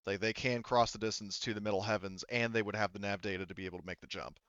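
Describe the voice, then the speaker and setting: A man with a smooth, fairly low voice runs a tabletop game. He explains the lore casually, stopping and restarting often. The sound is dry and a little muffled. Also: steady tone, fairly bright tone, no echo. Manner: halting, many restarts and "you know". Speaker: game master. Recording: slightly dull, dry close mic.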